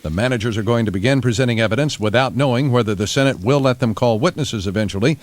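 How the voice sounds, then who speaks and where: An American man reading scripted radio news, dropping in deadpan asides. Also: mid-range voice, quick pace. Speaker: radio newscaster. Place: radio studio.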